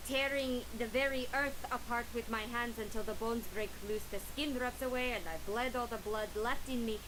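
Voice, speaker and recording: An actress playing a sharp-tongued, defiant woman rehearsing a grieving goddess with theatrical declamation in a game audio log. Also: a little high, steady tone, normal speed, lightly acted. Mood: anguished, grieving. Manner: dramatic declaiming, exasperated retorts. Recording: clear hiss behind the voice.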